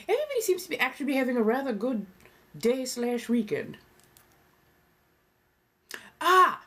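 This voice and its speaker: Ancient female vampire host, played by a woman: affected colonial English accent, effusive and genteel, highly animated pitch, live chat. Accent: affected English, 1700s colonial. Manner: effusive, genteel, calls people darling and dear. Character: gracious colonial-accented female vampire, forty thousand years old. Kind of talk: livestream chat in persona.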